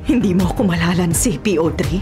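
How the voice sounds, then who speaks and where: A woman's voice: fairly low pitch, expressive, a little fast, over music, lightly acted. An actress playing a cunning, arrogant businesswoman in a Filipino radio drama, haughty and dismissive. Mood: haughty scorn.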